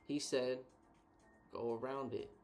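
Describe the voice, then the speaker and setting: A man co-hosting a casual reflective chat, thinking out loud in a laid-back, slangy way. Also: medium pitch, flat delivery, takes it slowly, faint music behind. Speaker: co-host.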